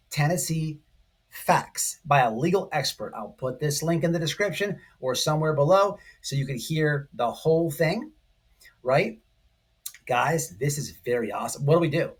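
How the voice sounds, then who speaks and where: A man giving casual, excitable online commentary, speaking emphatically to his viewers and repeating his points. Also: fairly high pitch, husky. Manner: excitable, emphatic, repetitive, addressing viewers directly. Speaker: online commentary channel host. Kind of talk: casual, informal video commentary.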